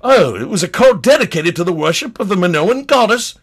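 A man playing a pompous, eccentric antiquities scholar, easily thrilled, with a halting, stammering, digressive delivery in a comedy lecture. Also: sits high, animated, husky, full character voice. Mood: dramatic, astonished. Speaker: guest. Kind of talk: improvised-sounding comic lecture in character.

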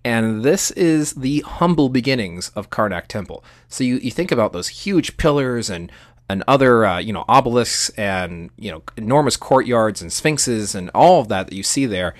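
A man's voice: medium pitch, animated, normal speed, no echo, clean studio sound. A man co-hosting a podcast, explaining history in an enthusiastic, conversational way. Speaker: podcast co-host.

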